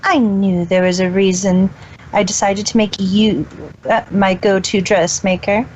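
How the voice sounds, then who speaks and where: A woman's voice: mid-range voice, fairly even intonation, unhurried, darkish tone, lightly acted. A woman voicing a smug, cold, imperious pony mayor with a haughty, commanding, formal way of speaking in an amateur fan fiction reading. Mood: smug approval. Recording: muffled with clear background noise.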